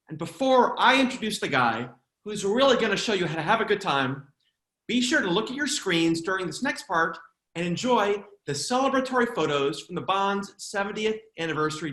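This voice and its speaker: A man's voice: high-pitched, lively intonation, normal speed, pushed and bright, roomy sound, low-quality recording. An American man with a jokey, chatty manner, hosting an online celebration as emcee and comedian. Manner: jokey, chatty, playful asides. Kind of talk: comic hosting of an online event.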